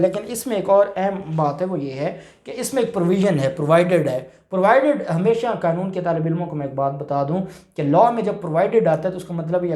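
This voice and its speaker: A man with a Pakistani accent giving an informal legal explanation, halting and rephrasing his points as he goes. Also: fairly high pitch, warm tone. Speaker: lawyer explaining law. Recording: roomy, echoing indoor sound.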